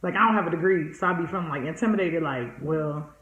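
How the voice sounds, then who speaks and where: A woman's voice: sits low, fast, fairly bright tone. A woman in her forties talking casually and candidly, outspoken and emphatic, repeating phrases to drive them home. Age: 40s. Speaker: podcast guest, entrepreneur being coached. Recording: echoey room, slightly dull sound.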